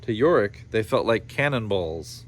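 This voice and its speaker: A man's voice: on the low side, lively intonation, a little slow. A man reading a children's story aloud in a playful style, with informal asides. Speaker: storybook reader. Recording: clear background noise behind the voice.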